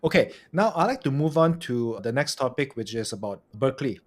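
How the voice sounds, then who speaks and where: A man's voice: mid-range voice, animated, moderate pace. A man, hosting a casual interview in a conversational, inquisitive way and following up with questions. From Malaysia. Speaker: podcast host.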